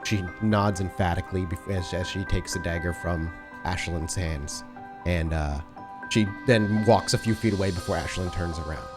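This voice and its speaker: A man's voice: low voice, normal speed. A man narrating an improvised tabletop role-playing game as its game master. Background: background music.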